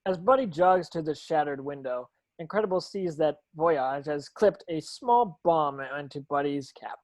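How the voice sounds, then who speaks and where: A man's voice: a little high, expressive, measured pace. A man narrating stage directions from a script at a table read, sometimes tripping over words.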